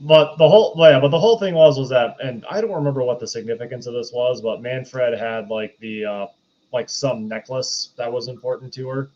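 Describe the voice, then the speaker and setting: A man's voice: mid-range voice, a little fast, a little mellow. Slightly muffled man chatting on a podcast. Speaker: podcast co-host. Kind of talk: casual movie recap chat. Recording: slightly dull lo-fi mic, faint noise.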